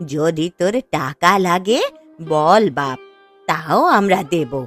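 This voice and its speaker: A woman's voice: low-pitched, expressive, slow pace, a bit bright, faint music behind, heavily acted. A woman voicing a kindly, resolute old village woman in a cartoon, motherly and reassuring. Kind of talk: cartoon dialogue.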